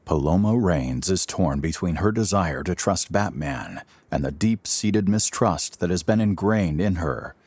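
An actor as the solemn narrator of a superhero audio drama, telling it in grave, florid, scripted prose. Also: male voice, sits low, lively intonation, unhurried, few pauses, darkish tone, slightly rough, dry recording, full character voice. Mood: grave, poetic. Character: solemn male storyteller of a superhero tale.

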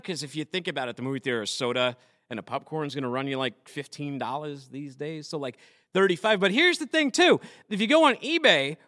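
A man from Massachusetts, hosting a podcast in an animated, jokey, casual way. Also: sits high, no echo, clean studio sound. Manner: animated and jokey, fast filler-laden talk. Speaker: podcast host. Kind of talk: casual chat.

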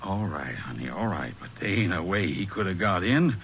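A man's voice: deep voice, sing-song, normal speed, fairly bright tone. An actor playing a folksy Texas farmer who soothes and brushes off his wife, in 1950s radio drama style. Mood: reassuring, impatient. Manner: folksy rural phrasing. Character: sleepy Texas farmer husband, dismissive and soothing. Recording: dull hissy old radio recording.

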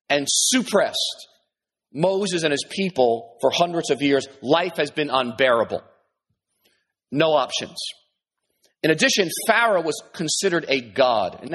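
A man's voice: a little high, a bit bright, husky. A male pastor giving a sermon in a conversational way, often restarting his sentences and adding asides.

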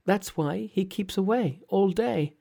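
A man's voice: high-pitched, unhurried, gravelly, dark tone, pitched above the performer's own voice, heavily acted. A man reading a storybook aloud and voicing a frail, frightened young woman in a raised, faint voice. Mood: timid. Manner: faint, trembling, pleading.

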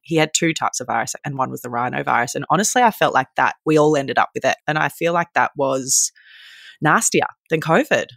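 Gravelly, upbeat woman co-host. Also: deep voice, brisk, a bit bright, dry recording, studio quality. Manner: upbeat, encouraging, chatty. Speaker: podcast co-host. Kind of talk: casual chat. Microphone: remote recording.

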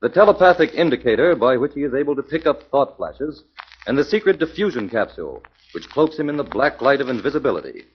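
A man's voice: mid-range voice, very animated, fast, husky. A man announcing a 1940s radio drama in a dramatic, declaiming manner. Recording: dull 1940s radio with music.

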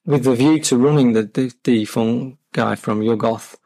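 A man's voice: mid-range voice, a little slow, mellow, dry recording. A man talking casually as a guest in an interview about his collection. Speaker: podcast guest, game collector.

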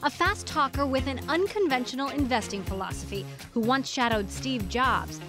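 A woman hosts a broadcast interview, her voice pitched a little high as she asks crisp, polished questions. Faint music sits under her. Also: moderate pace. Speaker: interview host.

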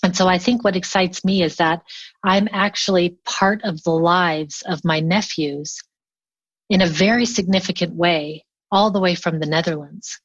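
A woman's voice: fairly low pitch, steady tone, moderate pace, slightly rough, dry recording, dull audio. A woman chatting casually as an interview guest, telling things in an enthusiastic, anecdotal way. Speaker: remote collaboration workshop teacher.

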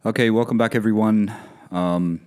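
A man hosting a casual podcast, talking in a relaxed, rambling way with many fillers, his voice a bit croaky from a cold. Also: on the low side, moderate pace. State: croaky, coming down with something. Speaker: podcast host. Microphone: podcast mic over video call.

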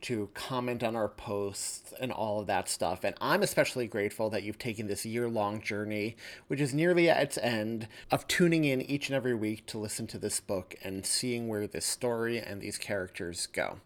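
A man's voice: fairly low pitch, normal speed. A man hosting a podcast, introducing the episode in an effusive, grateful way. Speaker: podcast host.

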